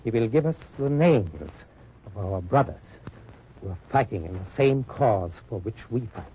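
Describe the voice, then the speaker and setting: A man's voice: fairly low pitch, expressive, normal speed, a little hiss or hum. A man playing a pompous German double agent posing as a resistance leader, making grandiose speeches in an old radio drama. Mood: falsely stirring. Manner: grandiose, declamatory. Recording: muffled old radio recording.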